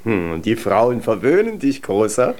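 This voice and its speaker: Domineering dwarf smith, middle-aged, blustery and hearty. Animated delivery, children's radio play. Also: male voice, lowish voice, moderate pace. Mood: jovial, teasing. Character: blustery, ambitious dwarf blacksmith, father of a grown daughter.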